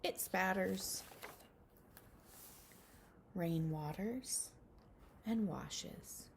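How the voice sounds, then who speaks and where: A woman's voice: mid-range voice, drawn-out words, slight background noise. A woman speaking to young children as a teacher in a read-aloud lesson, addressing them directly.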